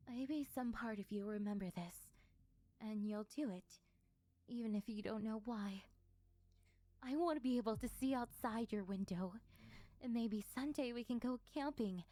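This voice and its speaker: A woman voicing a lonely, needy female sleep paralysis demon in an intimate ASMR roleplay, hesitant and soft-spoken. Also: mid-range voice, dry recording, lightly acted.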